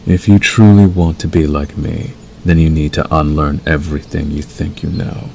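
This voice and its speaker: A man voicing a possessive werewolf lover in intimate ASMR roleplay, menacing yet tender, coaxing slowly and soothingly. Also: extremely low pitch, steady tone, vocal fry, slight background noise. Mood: calm, menacing. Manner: slow, soothing, coaxing with dark undertone.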